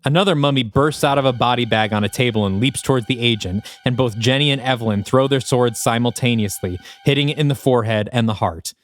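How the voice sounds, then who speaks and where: A man's voice: mid-range voice, quick pace, few pauses. A man narrating a scripted action audio drama with vivid present-tense description.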